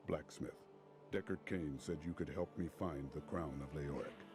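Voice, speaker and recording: An actor playing a stern, resolute warrior hero in a fantasy video game, terse and formal in manner. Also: male voice, very deep voice, normal speed. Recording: music behind the voice.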